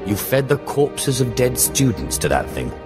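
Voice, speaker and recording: A man playing a cold, haughty Sith acolyte in a video game, speaking in a measured, disdainful way. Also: medium pitch, sing-song, music in the background, natural voice. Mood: probing. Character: haughty, cold young male Sith acolyte, player hero. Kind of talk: video game dialogue acting. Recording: noisy game capture.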